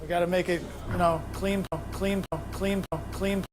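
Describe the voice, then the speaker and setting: High-voiced, bossy man giving kitchen orders. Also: flat delivery, unhurried. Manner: bossy, blunt, repetitive. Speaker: team leader in a kitchen contest. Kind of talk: unscripted kitchen instructions. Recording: music behind the voice.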